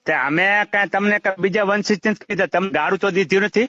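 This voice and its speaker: A man from Gujarat arguing in a phone conversation, halting and repeating his phrases, on a muffled call recording. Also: high voice, sharp tone, no room sound. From Gujarat, India.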